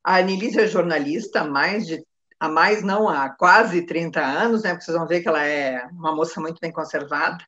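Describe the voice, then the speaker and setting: A woman's voice: low voice, fairly quick, a bit bright. A woman with a Brazilian accent hosting an informal live online interview in a conversational way. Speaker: host, consultancy manager interviewing a client. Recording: dull, lo-fi online call.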